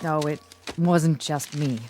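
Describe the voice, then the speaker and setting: A woman's voice: sits low, fairly even intonation, takes it slowly, warm tone, soft background music. A woman playing a modest, earnest codebreaker's assistant in a radio drama, hesitant and careful.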